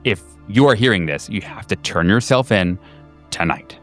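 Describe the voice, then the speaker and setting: A man acts out an anxious man with a low voice, leaving a phone message. He is insistent and pleading, stammering as he goes. It is horror game roleplay with faint music behind. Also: normal speed, fairly bright tone, natural voice. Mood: urgent pleading.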